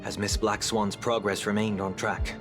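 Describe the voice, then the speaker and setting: An actor voicing a calm, earnest young man in a game cutscene. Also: fairly low pitch, normal speed, close to an everyday voice. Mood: serious. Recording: game cutscene over music.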